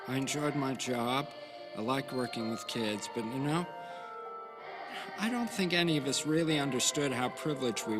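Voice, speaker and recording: An actor playing a wistful former music teacher, reminiscing conversationally as if in a documentary interview. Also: male voice, medium pitch, lightly acted. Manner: reflective, conversational. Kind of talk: documentary-style interview acting.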